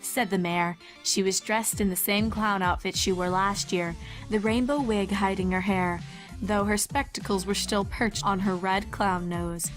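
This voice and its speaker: A woman's voice: mid-range voice, steady tone, unhurried, soft background music. A woman giving a scripted narration of a fan fiction horror story.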